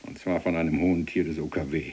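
A man's voice: a bass voice, fairly even intonation, fast talker, a little mellow, breathy edge. A man playing a blunt, sardonic prisoner in a German audio drama, needling his superior with dry mock deference. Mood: dryly provoking. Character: blunt, sardonic male cellmate, former German subordinate.

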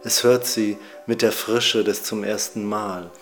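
A man with a somewhat low voice reads scripted narration in a calm, measured way. Music plays clearly behind him throughout.

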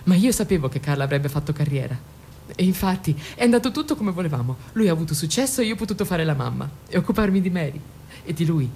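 A woman's voice: expressive, fast talker, dark tone. An actress playing a distraught, devoted mother in a radio drama, pleading defensively and repeating herself. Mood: wistful, earnest. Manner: agitated, insistent, repeats herself. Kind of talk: theatrical radio drama acting. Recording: noisy recording with low rumble.

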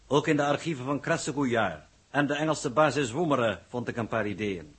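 An actor playing a confident male engineer in a 1960s Dutch radio drama, lecturing with deliberate assurance. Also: mid-range voice, breathy edge. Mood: assured, lecturing. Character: confident male engineer presenting a bold invention.

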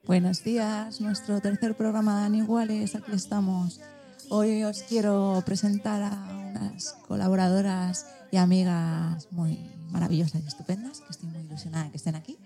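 A woman's voice: mid-range voice, flat delivery, normal speed, vocal fry, warm tone, soft background music. A woman with an accent from Spain, hosting and presenting a scripted radio show. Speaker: programme host.

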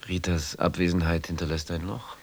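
A man's voice: low-pitched, flat delivery, normal speed, a little hiss or hum. A man acting in a German radio drama as a wary captive dealer in his late thirties, speaking tersely and formally, full of suspicion. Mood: uneasy, defiant. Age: 30s.

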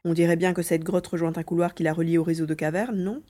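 A woman's voice: fairly low pitch, steady tone, fast talker, mellow, natural voice. A woman playing a wary, hesitant young navigator in a French audio drama. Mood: attentive. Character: Karl, wary young navigator grieving a dead father.

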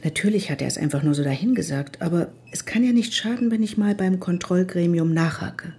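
A woman's voice: fairly low pitch, a little fast, close to an everyday voice. A woman playing a demanding, fretful mother in a radio play, speaking precisely and insistently. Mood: insistent, indignant. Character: demanding, fretful mother in a machine-run future society. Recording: loud music behind the voice.

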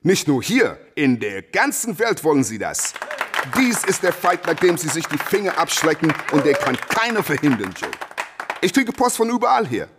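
Radio play casting: cocky young male boxing champion, slightly high voice with big melodic swings, teasing and theatrical, over a noisy crowd. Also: huge pitch swings, normal speed. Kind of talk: radio play dialogue and rhymed boasting. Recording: noisy, with crowd chatter behind.

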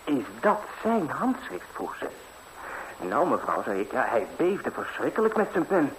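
A carefree young fairy-tale hero on vintage radio, chatting breezily and eagerly. The voice is fairly high and very animated, with faint noise on the old recording. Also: male voice, measured pace. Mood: animated recounting. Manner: breezy, colloquial, eager storytelling. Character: cheerful, fearless, naive young lad, lucky fairy-tale hero. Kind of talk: 1960s Dutch radio fairy-tale acting. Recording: slightly noisy old 1960s radio recording.

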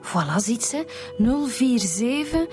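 An actress with a Flemish accent playing a blunt, brisk female police detective in a radio drama. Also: fairly high pitch, very animated. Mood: musing, keen. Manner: brisk, direct, matter-of-fact. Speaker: actor in a radio serial. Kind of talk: naturalistic radio drama dialogue. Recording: music behind the dialogue.

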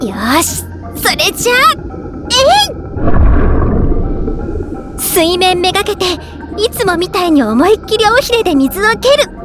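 A woman playing a sweet, bubbly young newlywed mermaid, telling her own story in an expressive Japanese audio drama style. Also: very high voice, measured pace, pauses often, full character voice. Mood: determined. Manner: cutesy, expressive first-person narration with exclamations. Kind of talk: Japanese audio drama monologue, game tie-in. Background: music.

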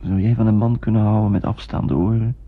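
An actor playing a dry-witted, jealous man in intimate radio drama dialogue, with a gravelly, husky voice. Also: lowish voice, steady tone, fairly quick, lightly acted. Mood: wry, jealous. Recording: thin, phone-like old radio recording.

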